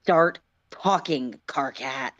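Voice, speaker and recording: A woman voicing a bitter, wounded male alien who interrogates with sharp, accusing demands in a story reading. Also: a bass voice, slow, no echo, lo-fi sound. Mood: angry, demanding.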